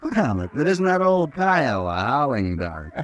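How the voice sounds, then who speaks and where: An actor playing a brash, mocking young army lieutenant in a 1950s radio drama, swaggering and quick to laugh at others. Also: male voice, medium pitch, sing-song, no room sound. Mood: taunting. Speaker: cavalry lieutenant.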